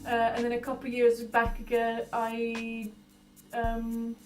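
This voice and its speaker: A Dutch-accented woman in her early thirties speaks in a fairly high voice, set back from the microphone in a roomy space. She talks informally about herself, pausing often to find the next thought. Music plays clearly behind her. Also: completely flat delivery. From Netherlands. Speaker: artist being profiled. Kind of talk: informal talking about own life and art. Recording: roomy echo, distant mic, music behind.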